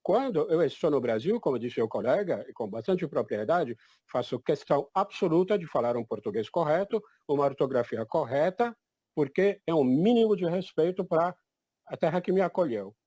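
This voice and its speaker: A man's voice: a little high, expressive, normal speed, mellow, dry recording. An older man born in Egypt, giving an emphatic, declarative personal testimony at a public talk. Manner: emphatic, declarative, repeats "definitivamente". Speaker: event participant.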